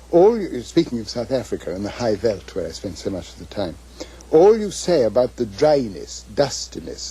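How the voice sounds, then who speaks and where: An older man with an English accent speaks at a middling pitch. His voice is strongly husky and worn. He reminisces and reads aloud with measured, literary emphasis. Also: warm tone, poor audio. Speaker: author's son giving reminiscences and readings. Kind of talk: reflective reminiscence and literary reading of letters.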